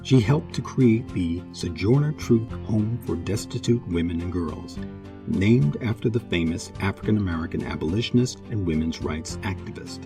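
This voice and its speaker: A man narrating a scripted documentary in a measured way, with dramatic pauses and emphasis. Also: low voice, expressive. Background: music.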